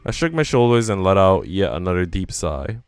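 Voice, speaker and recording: A man's voice: sits low, big pitch swings, brisk, smooth, no room sound. A man voicing an anxious young woman who narrates her troubles in a visual novel, dramatic and self-questioning. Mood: troubled. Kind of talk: visual novel first-person reading.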